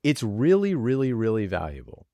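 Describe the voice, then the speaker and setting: A man's voice: mid-range voice, wildly swooping pitch, normal speed, dark tone, no room sound. A man speaking as a podcast producer and guest, answering conversationally and repeating phrases for emphasis.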